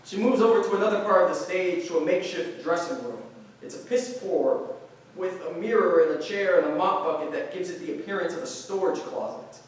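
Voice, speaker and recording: A man's voice: high voice, brisk. A man reading stage directions aloud as scripted narration for a staged play reading. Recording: distant, very echoey room, faint noise.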